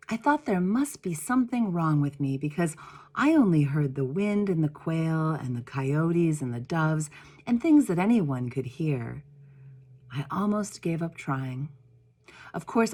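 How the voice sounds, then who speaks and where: A woman reads a storybook aloud in a low voice. She takes it very slowly, with deliberate pauses and expressive phrasing, as a minister might when telling a story to all ages. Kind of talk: storybook read-aloud, first-person narration.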